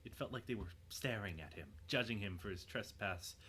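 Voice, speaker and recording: A man narrating a scripted dramatic story in a measured, suspenseful way. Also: lowish voice, lively intonation, bright, forceful tone, slightly rough.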